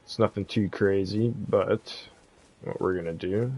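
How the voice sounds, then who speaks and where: A man's voice: sits low, a little slow, darkish tone. A man giving a casual, easygoing game walkthrough as a streamer. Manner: laid-back, easygoing. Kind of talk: casual game guide commentary.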